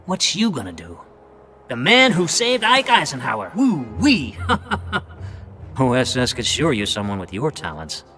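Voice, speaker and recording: Animated, brash American wartime officer. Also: male voice, medium pitch, measured pace, exaggerated voice. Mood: sardonic, teasing. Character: brash, folksy male wartime intelligence officer, cynical and jovial. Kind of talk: video game cutscene acting.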